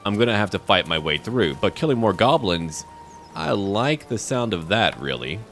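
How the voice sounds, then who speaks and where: A man's voice: lowish voice, very animated, moderate pace, fairly bright tone. A man hosting a roleplay video game playthrough, commenting and talking to the characters in first person. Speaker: gaming video host. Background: game music.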